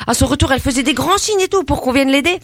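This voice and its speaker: Casting: woman as a cheeky suburban girl, banlieue slang, high and fast, radio comedy. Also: lively intonation, dry recording. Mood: chatty. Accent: French banlieue slang. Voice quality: slangy, talks in a put-on street manner. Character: cheeky young suburban woman, brash and naive. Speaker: comedy columnist. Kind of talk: scripted radio comedy sketch.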